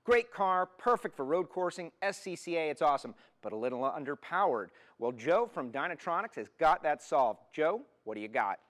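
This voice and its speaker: A man's voice: on the high side, big pitch swings, normal speed, few pauses, fairly bright tone. A man hosting an automotive TV show, presenting and interviewing in an upbeat, enthusiastic way. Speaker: TV show host.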